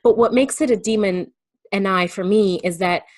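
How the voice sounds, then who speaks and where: A woman speaking as an interview guest in a reflective, casual discussion, thinking aloud in a halting way. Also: medium pitch, a little mellow, no room sound, studio quality.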